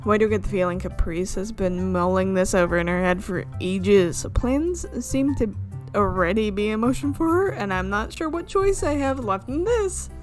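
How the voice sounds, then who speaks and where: A woman's voice: mid-range voice, lively intonation, normal speed. A woman reading a visual novel aloud as a let's player, with her own asides. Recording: music behind the voice. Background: game music.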